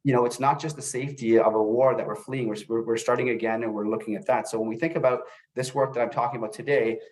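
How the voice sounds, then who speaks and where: A middle-aged man, born in El Salvador and raised in North America, giving an informal webinar presentation in a halting way, often repeating words as he goes. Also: mid-range voice, steady tone, darkish tone. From born in El Salvador, grew up in North America. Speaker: webinar presenter, youth services manager.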